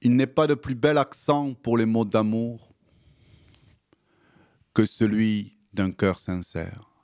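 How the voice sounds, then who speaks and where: A man with a southwestern French accent, a radio guest who speaks hesitantly in conversation and recites his own poem in a measured rhythm. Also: on the low side, steady tone, mellow, no echo, studio quality. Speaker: guest author.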